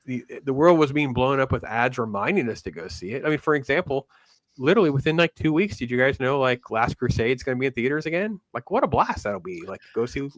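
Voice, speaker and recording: A middle-aged man as a guest in a casual chat, explaining things in a wordy, informal, animated way. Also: medium pitch, fast talker, dry recording. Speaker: panel guest.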